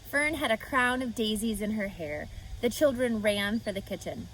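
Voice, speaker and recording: A woman reading a children's book aloud with expressive, animated storytelling. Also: on the high side, moderate pace, slight background noise, poor audio. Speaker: reader.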